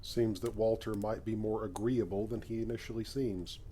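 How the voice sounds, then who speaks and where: Casting: man playing laconic new recruit in a space game, low, mellow dark tone, measured and dry. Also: steady tone, a little hiss or hum, close to an everyday voice. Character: laconic, modest male miner turned space explorer. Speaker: player character, new recruit. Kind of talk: video game character dialogue.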